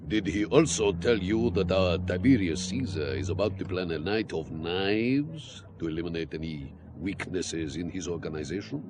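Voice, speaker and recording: Philosophical Russian spymaster played by a male actor: deep, swooping delivery, courtly and deliberate, audio drama. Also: expressive, noisy background. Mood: grave, confiding. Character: courtly, philosophical Russian intelligence officer, vodka drinker. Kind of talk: spy audio drama acting.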